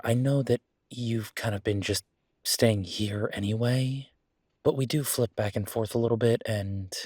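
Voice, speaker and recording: A man's voice: fairly low pitch, darkish tone, husky, no echo, clean studio sound. A man playing an affectionate young werewolf boyfriend in an intimate audio roleplay, talking in a casual, hesitant, rambling way. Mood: earnest.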